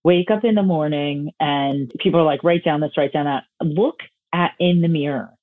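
A woman's voice: deep voice, normal speed, no echo. A woman health coach answering interview questions in an emphatic, self-assured way. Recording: tinny phone-line audio, dry.

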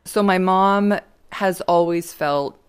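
A woman's voice: on the low side, slow, clear, resonant tone. A woman speaking as a speech language pathologist, explaining her work in an interview. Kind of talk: spoken self-introduction in an interview.

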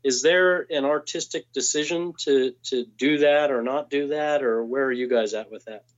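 A man's voice: medium pitch, normal speed. A man in his forties hosting an interview, asking questions in a hesitant way and often repeating words. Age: 40s. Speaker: podcast host. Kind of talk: casual interview. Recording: slightly dull lo-fi sound.

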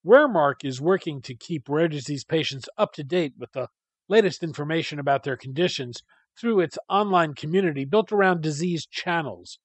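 Guy hosting a podcast, kinda high voice, dry close sound. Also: expressive, normal speed, slightly rough, no room sound. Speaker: podcast host. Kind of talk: interview hosting, scripted intro.

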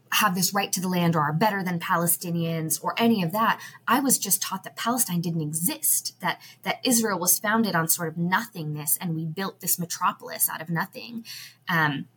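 A woman's voice: on the low side, fairly bright tone, breathy edge. A woman, speaking as a podcast guest, thoughtful, with frequent fillers. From United States. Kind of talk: podcast interview.

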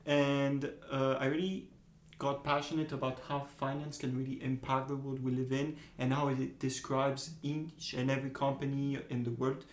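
A man in his twenties who grew up in Asia, a student guest on a podcast, talking in a relaxed, straightforward way. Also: medium pitch, normal speed, roomy sound. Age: 20s. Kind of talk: casual podcast interview.

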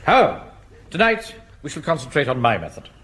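A man's voice: a little high, very animated, fairly quick, fairly bright tone, husky, full character voice. A man playing a pompous middle-aged English Home Guard captain, officious and clipped, in a British radio sitcom. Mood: pompous, patient. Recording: noisy old radio comedy recording.

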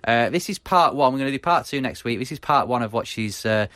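A man's voice: medium pitch, expressive, quick pace, fairly bright tone, dry recording, studio quality. A British man hosting a casual sports podcast, talking with frequent ums and restarts. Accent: British English. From Britain. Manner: hesitant, many ums and restarts. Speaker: podcast host.

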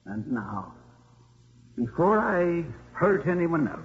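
An actor playing a contrite, weary old priest who heads a college, speaking solemnly and formally in a 1950s radio drama. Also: male voice, a little high, very animated. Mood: resigned farewell. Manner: solemn, measured, formal speech. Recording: muffled, noisy 1950s radio broadcast.

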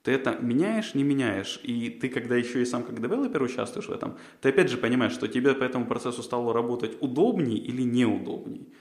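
A man's voice: medium pitch, fast, hardly any pauses, a little mellow, reverberant. A man, a podcast co-host, answering interview questions in a rambling way, with many fillers and restarts. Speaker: job candidate, podcast co-host.

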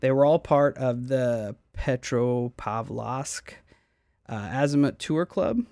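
A man's voice: mid-range voice, slow, warm tone, dry recording, studio quality. A man hosting a podcast, casually telling a mystery story, swearing often and stumbling over names. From United States. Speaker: podcast host.

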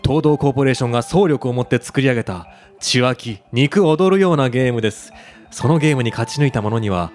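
An actor playing a polite, deliberate, persuasive corporate recruiter with sinister intent in a radio drama. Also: male voice, mid-range voice, animated, a little mellow, soft background music, lightly acted. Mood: insinuating. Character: smooth, insinuating male corporate recruiter for a sinister game. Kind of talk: Japanese radio drama acting.

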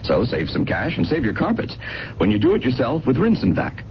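A man's voice: mid-range voice, wildly swooping pitch, a little fast. A man reading a scripted radio advertisement as a commercial spokesman. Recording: muffled, noisy vintage radio broadcast.

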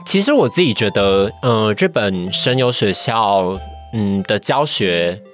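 Animated young Taiwanese man with lowish voice. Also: measured pace, faint music behind. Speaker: podcast guest, trainee voice actor. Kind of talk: casual chat.

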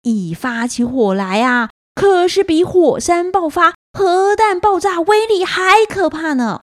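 A woman's voice: high voice, sing-song, a little slow, no echo, clean studio sound. A woman voicing a cocky young clouded leopard cub, boastful and teasing, in a children's story. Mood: wry.

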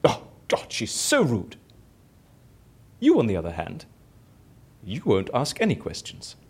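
A man's voice: medium pitch, huge pitch swings, a little slow, breathy edge, heavily acted. A man acting in an audio drama as a bored, boastful, theatrical raconteur who talks chattily and self-importantly. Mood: confiding, indignant. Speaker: lead character in audio fiction.

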